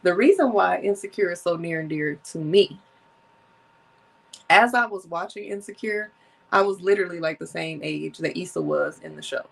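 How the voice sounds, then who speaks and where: A woman's voice: lowish voice, moderate pace, gravelly, slightly rough. A woman in her thirties hosting her own podcast, talking casually and animatedly and laughing often. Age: 30s. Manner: chatty, animated, laughs often, sips drinks. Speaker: podcast host. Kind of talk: casual solo podcast chat.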